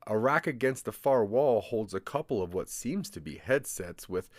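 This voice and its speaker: Male narrator for scripted interactive fiction: lowish register, very varied intonation, dramatic and deliberate. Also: lively intonation, dry recording. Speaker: narrator of a branching audio drama. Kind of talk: scripted second-person story narration.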